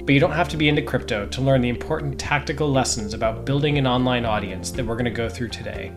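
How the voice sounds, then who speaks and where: A man's voice: medium pitch, fast, music in the background. A man hosting a podcast, asking questions in a friendly, conversational way. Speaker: podcast host.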